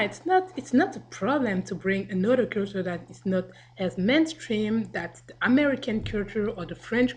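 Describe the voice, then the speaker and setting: Casting: young woman from Africa, casual vlogger, chatty and rambling, mid-pitched, webcam at home. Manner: chatty, rambling, laughs often, lively acted quotes. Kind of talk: casual video response to the camera.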